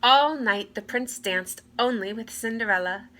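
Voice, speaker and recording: A woman reading a fairy tale aloud to children with deliberate, expressive phrasing. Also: a little high. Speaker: read-aloud storyteller. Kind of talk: expressive children's story narration. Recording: clear background noise.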